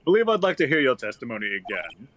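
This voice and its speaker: A man playing a mild, formal judge in a fan dub of a courtroom game, speaking in a measured way. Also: mid-range voice, very animated, a bit bright, slight background noise. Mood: pondering.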